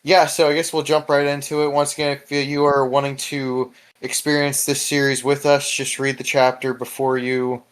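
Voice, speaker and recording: A man hosting a casual discussion podcast, speaking in an informal, halting way. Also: medium pitch, level tone, clean tone. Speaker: host.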